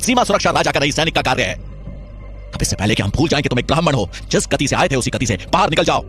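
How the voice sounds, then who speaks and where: A man's voice: on the high side, animated, fast talker, a bit bright, soft background music, pitched above the performer's own voice. A man voicing an arrogant, furious tyrant king in a dramatized historical story, contemptuous and commanding. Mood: angry, commanding.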